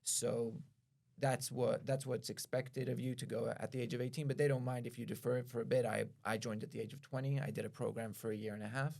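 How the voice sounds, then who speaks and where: Guy from israel, bit of vocal fry, slow careful explainer on a podcast, stops and rephrases a lot. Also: mid-range voice, fairly even intonation, darkish tone. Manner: measured, explains at length with pauses and self-corrections. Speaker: podcast guest, attorney. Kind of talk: explanatory conversation.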